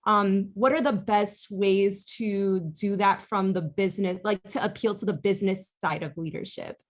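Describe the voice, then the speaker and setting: A woman's voice: mid-range voice, fairly even intonation, moderate pace. Friendly woman asking a hesitant question. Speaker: audience member asking a question.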